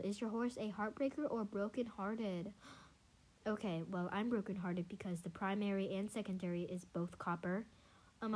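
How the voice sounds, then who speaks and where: A young woman with a congested, stuffy voice, casually commenting on her gameplay, chatty and excitable with many fillers. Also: medium pitch. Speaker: gaming channel host. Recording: faint music behind. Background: faint game music.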